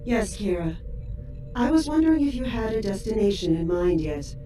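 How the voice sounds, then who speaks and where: A woman playing a polite, formal, gently fussy artificial assistant. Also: medium pitch, animated, moderate pace, close to an everyday voice. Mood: polite, concerned. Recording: very echoey, distant, faint music.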